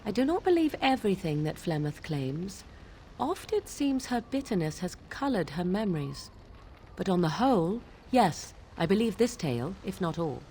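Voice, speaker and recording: An actress playing an aloof, sardonic young witch of the wilds in a fantasy video game, with formal archaic diction and a deliberate, theatrical delivery. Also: on the low side, very animated, dark tone, a little hiss or hum. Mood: arch, challenging.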